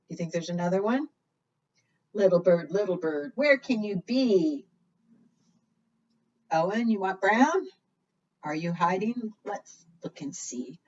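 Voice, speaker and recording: A woman talks to preschoolers as a storytime librarian, her pitch swinging widely as she coaxes them with questions. She takes her time, and the sound is muffled, as from a microphone some way off. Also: recorded from a distance. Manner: coaxing questions, exaggerated gasps and singsong refrains. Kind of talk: interactive storytime talk to small children.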